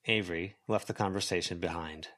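A man's voice: sits low, fairly quick, fairly bright tone. A man reading scripted fiction as an audiobook narrator. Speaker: volunteer audiobook reader.

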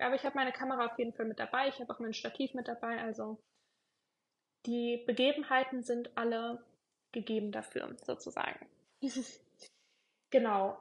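A woman's voice: fairly high pitch, a little hiss or hum, rough recording. A young woman hosting her own podcast, chatting casually and reflectively, with many fillers and repeated words for emphasis. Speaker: podcast host.

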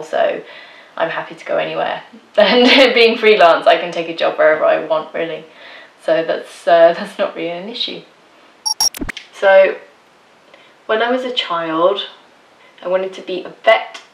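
An English woman telling her own story as a podcast guest, chatty and laughing often between phrases. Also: on the low side. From England. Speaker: guest, freelance photographer. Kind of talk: casual personal storytelling. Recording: roomy, distant mic.

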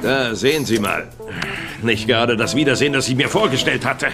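An actor playing a stern, disappointed older father in a TV drama dub, speaking tersely and gravely with some bitterness. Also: mid-range voice, brisk, loud music behind the voice, lightly acted. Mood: bitter, grave. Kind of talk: dubbed TV drama acting.

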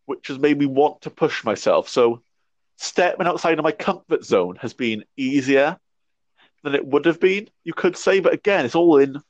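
A man's voice: on the high side, animated, moderate pace, no room sound. Reflective young man with a stammer. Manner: reflective, talks with a stammer and restarts. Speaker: podcast guest. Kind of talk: casual conversation. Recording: dry muffled lo-fi audio.

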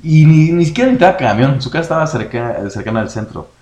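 A young man with a Mexican Spanish accent telling an anecdote in a relaxed podcast chat, imitating other people's lines. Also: medium pitch, fast. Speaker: podcast co-host. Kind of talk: casual storytelling chat. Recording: echoey room, faint noise.

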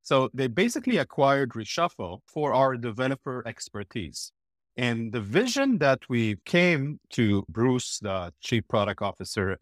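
Man, from Israel, middle-aged, blunt, animated, mid pitch, dry close recording. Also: dry recording. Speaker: podcast guest, former platform executive. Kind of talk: interview answer.

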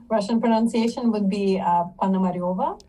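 Woman from ukraine, husky, mellow, relaxed guest, a bit distant from the mic. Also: mid-range voice, unhurried. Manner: relaxed, laughs easily, many fillers. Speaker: guest, English teacher and photographer. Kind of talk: casual interview talk.

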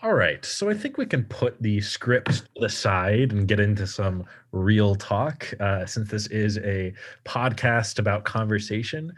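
A man's voice: lowish voice, sing-song. A man in his twenties co-hosting a podcast, reading a scripted intro and then talking casually with many fillers and restarts. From United States. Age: 20s. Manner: halting, many fillers and restarts in free talk. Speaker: podcast co-host.

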